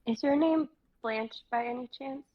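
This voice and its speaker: A woman's voice: sits high, normal speed, muffled recording. A young woman playing a bewildered, awkward twenty-three-year-old in a dramatic reading, speaking hesitantly in modern casual phrasing. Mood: tentative. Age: young adult.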